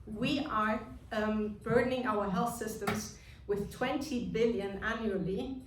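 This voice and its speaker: A woman in her thirties from Germany with a German accent gives an earnest awards-evening speech, pausing often on fillers. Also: medium pitch, steady tone, background noise. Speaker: award speaker. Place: large echoey function room. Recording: echoey room, distant mic, music behind.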